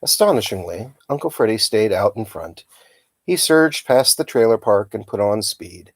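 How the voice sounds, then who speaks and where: A man reading a children's novel aloud as a storyteller, with a dramatic, expressive delivery. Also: on the low side, moderate pace, no echo. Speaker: storytime reader.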